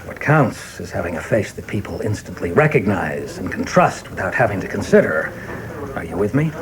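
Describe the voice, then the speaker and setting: Guy doing self-important big shot politician, radio play, gruff, impatient, demanding, talks like he's giving a speech. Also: deep voice, big pitch swings, normal speed, a crowd in the background. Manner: pompous, emphatic, oratorical.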